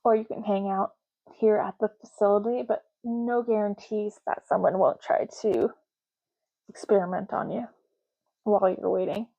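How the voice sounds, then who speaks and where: Female ASMR host as intake clerk: relaxed dark tone, mid pitch, matter-of-fact, pausing between short phrases. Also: vocal fry, lo-fi sound. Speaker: ASMR roleplay host, playing an intake clerk making ID cards. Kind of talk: ASMR roleplay, soft-spoken personal attention.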